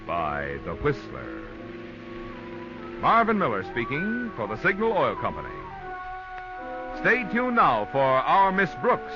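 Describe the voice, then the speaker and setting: A man with an American accent announcing in a polished, formal, emphatic 1940s radio style from a script. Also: on the high side. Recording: muffled old radio recording with music. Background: music.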